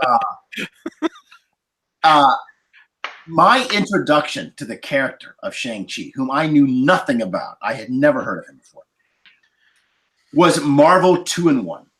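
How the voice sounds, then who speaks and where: A man's voice: medium pitch, unhurried, long pauses, rough recording. A man chatting casually on a podcast panel, telling stories with many asides. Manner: animated storyteller, many asides. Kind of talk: casual anecdotal chat.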